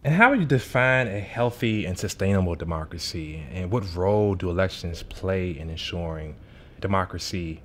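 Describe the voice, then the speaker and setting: Deep-voiced male interview host. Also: lively intonation, moderate pace, slight background noise. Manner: formal, reading prepared questions. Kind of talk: scripted interview questions.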